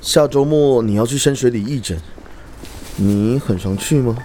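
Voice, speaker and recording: A man's voice: mid-range voice, moderate pace, dark tone, lightly acted. Guarded, smitten policeman played by a man, music underneath. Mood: casual, interested. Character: male police officer, smitten, jealous, guarded. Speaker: voice actor. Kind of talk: Chinese audio drama acting.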